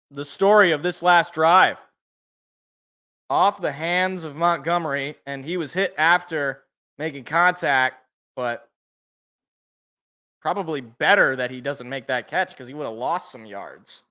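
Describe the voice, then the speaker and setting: A young man calling a football game play-by-play from a sports podcast, casual, with a slightly rough, sniffly voice from allergies. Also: fairly high pitch, slow pace. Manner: excited calls, casual asides. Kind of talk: live play-by-play commentary.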